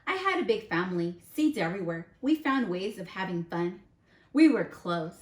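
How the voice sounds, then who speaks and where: A woman's voice: mid-range voice, sing-song, a little mellow, natural voice. Woman voicing a dramatic little bad seed, echoey. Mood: wistful recollection. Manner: dramatic, drawn-out key words. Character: boastful, self-confessed bad little sunflower seed. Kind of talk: children's storybook character read aloud.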